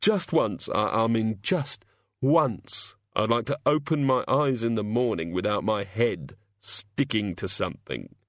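A man voicing Bilious, a queasy, pitiful male god of hangovers who groans and mumbles haltingly. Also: fairly low pitch, lively intonation, no room sound, studio quality. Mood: groaning.

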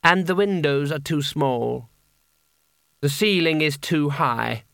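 A man's voice: a little high, takes it slowly, creaky, fairly bright tone, clear, resonant tone, no room sound, studio quality, heavily acted. A man voicing a cold, imperious boy wizard in a storybook. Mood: cold, dismissive.

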